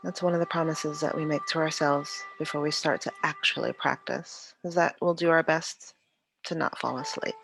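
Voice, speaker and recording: A yoga teacher leading a guided meditation in a calm, soothing, unhurried way with long pauses. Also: mid-range voice, vocal fry, slight background noise, poor audio.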